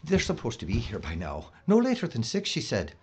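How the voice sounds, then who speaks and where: A man acting a flustered bumbler, wildly animated. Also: medium pitch, brisk. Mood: anxious. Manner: flustered, whiny, babbling. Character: anxious bumbling male film crew member, easily flustered. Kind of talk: audio drama acting. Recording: dull, noisy audio drama recording.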